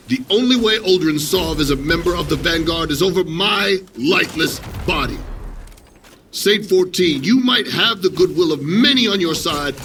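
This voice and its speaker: A man's voice: fairly high pitch, pushed and bright. A man playing a stern, proud, unbending military commander in an audio drama, formal and resolute. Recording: distant from mic, faint noise.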